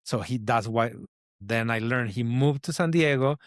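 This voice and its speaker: A man's voice: mid-range voice, moderate pace, dry recording, clean studio sound. Mexican-accented man telling a story. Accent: Mexican Spanish. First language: Spanish. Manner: rambling, full of fillers and restarts. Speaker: designer guest. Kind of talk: casual interview storytelling.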